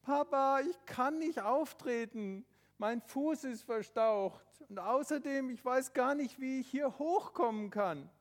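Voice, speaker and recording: A man's voice: extremely high pitch, slow, pitched above the performer's own voice. A man doing a small frightened boy crying for help. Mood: pleading. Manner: whimpering, calling out for help. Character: small boy stranded hurt on a mountain ledge.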